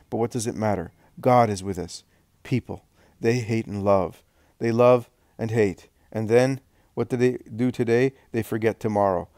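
A man's voice: fairly low pitch, mellow, no room sound, studio quality, close to an everyday voice. A man doing a consoling, earnest man. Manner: earnest, reassuring.

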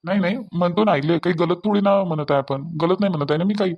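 A man's voice: high voice, muffled recording. A man voicing an earnest, anxious young grown son in a family cartoon, repeating himself, with a Varhadi Marathi accent. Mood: concerned. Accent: Varhadi Marathi of Vidarbha. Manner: earnest, hurried, repetitive.

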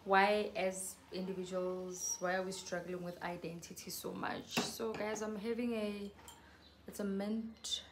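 Young woman, from South Africa, soft voice, casual vlog chat, earnest, faint outdoor hiss. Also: medium pitch, flat delivery, a little slow. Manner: chatty, earnest, addresses viewers as guys. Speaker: YouTuber. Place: covered patio outside a house.

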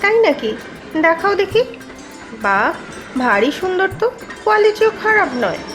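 A kindly lady passenger in an animated story. The voice is extremely high with lively intonation. She is curious and appreciative, with music clearly playing behind her. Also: normal speed. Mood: curious, pleased. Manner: friendly, appreciative. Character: kindly, curious, approving woman train passenger. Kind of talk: Bengali animated story dialogue.

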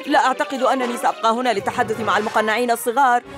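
A woman's voice: fairly high pitch, quick pace, natural voice. A woman voicing a boastful, taunting boy ninja villain in a dubbed cartoon. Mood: gloating. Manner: taunting, boastful, laughs at his foes. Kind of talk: cartoon dubbing. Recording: music loud behind the voice.

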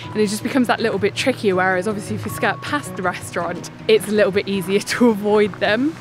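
Woman in her thirties, travel vlogger, chatty and upbeat, outdoors with background music, medium-pitched voice. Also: fast. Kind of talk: hiking vlog narration. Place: outdoors on a mountain trail. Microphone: phone camera at arm's length.

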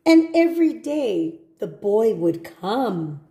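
A woman reading a children's storybook aloud, with simple, deliberate phrasing and short asides for young listeners. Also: a little high, very animated, dark tone, echoey room. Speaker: storybook reader. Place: indoor room at home.

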